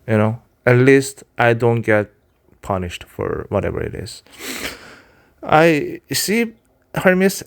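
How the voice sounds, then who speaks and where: A man's voice: medium pitch, big pitch swings, slow pace, gravelly, long pauses. Korean-accented man chatting to his cat. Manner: rambling, candid, frequent fillers and restarts. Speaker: vlogger and self-published writer. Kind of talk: casual monologue to his cat.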